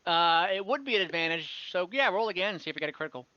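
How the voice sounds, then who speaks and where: A man's voice: high-pitched, expressive, fast, sharp tone, highs cut off, a little hiss or hum. A man acting as game master, casually running an online tabletop role-playing game.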